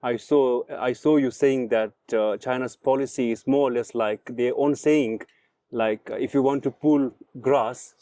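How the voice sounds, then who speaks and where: A man hosting a podcast puts careful, polite questions to his guest, building them slowly with small pauses. His tone is mellow and warm rather than bright. The sound is dry and close to the mic. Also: mid-range voice, fairly even intonation, dry recording. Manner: polite, frequent soft backchannel murmurs. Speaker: podcast host. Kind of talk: interview questions.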